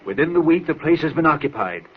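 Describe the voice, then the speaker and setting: A man's voice: on the high side, animated, fast talker, lightly acted. An actor playing a proud, furious old lord and father in declamatory radio drama style. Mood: urgent, commanding. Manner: imperious, declamatory. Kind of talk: 1940s radio acting. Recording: muffled, noisy old radio recording.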